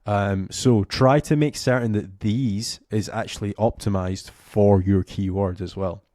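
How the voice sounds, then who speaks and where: A man's voice: on the low side, steady tone, unhurried, warm tone, no echo, studio quality. Young man with a cough. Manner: casual, rambling with many fillers. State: slight cough, losing voice. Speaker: SEO coach. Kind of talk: screen-share tutorial. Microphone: studio mic close up.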